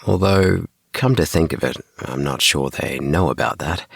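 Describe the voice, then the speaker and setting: A man's voice: a bass voice, normal speed, gravelly, husky, dry recording. A man narrating a children's sleep story as an audiobook, recorded close up in a small booth. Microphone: close mic in DIY recording booth.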